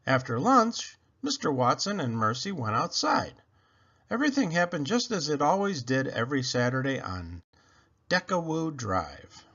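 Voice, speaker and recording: Male children's read-aloud narrator: expressive, slightly high, forceful, muffled lo-fi sound. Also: moderate pace.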